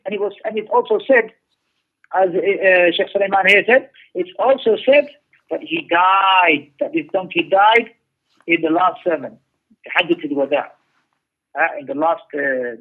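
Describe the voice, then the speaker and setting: High-pitched man lecturing, thin radio-like sound. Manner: emphatic, repeats phrases and poses rhetorical questions. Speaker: religious teacher giving a class. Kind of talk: religious lecture, explaining a text aloud. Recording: muffled narrow-band radio-like sound.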